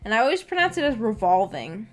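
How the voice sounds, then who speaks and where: A woman with a fairly high voice hosts a casual livestream. She rambles along in a giggly, self-deprecating way as she chats with her viewers. Soft music plays faintly behind her. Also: very animated, quick pace. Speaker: VTuber streamer and host.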